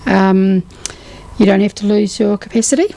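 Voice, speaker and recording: A woman, speaking as a guest on a local radio chat. Her voice is warm and mellow with a noticeable gravelly fry. She explains things patiently, stopping often on filler sounds. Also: mid-range voice, steady tone, moderate pace. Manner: explanatory, uses many fillers. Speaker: guest from a trust organisation. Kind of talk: explaining services in a radio interview.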